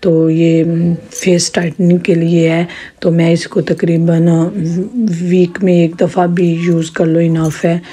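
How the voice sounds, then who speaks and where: A woman with a sore, hoarse throat chatting in a rambling home vlog with her viewers. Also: fairly low pitch, little pitch movement, takes it slowly, mellow. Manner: chatty, rambling with many restarts. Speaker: vlogger. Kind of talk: casual home vlog narration.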